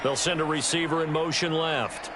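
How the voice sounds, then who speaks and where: A man's voice: fairly high pitch, fairly even intonation, moderate pace, noisy background, lightly acted. A man playing a football play-by-play announcer in a video game, with clipped, polished broadcast calls. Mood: focused.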